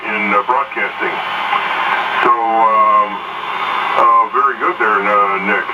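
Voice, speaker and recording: A man in his sixties, an amateur radio operator, chatting on air in a relaxed way with many "uh" pauses. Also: on the low side. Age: 60s. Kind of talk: casual on-air radio chat. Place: heard through a shortwave receiver speaker. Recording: distant, muffled shortwave reception with heavy static.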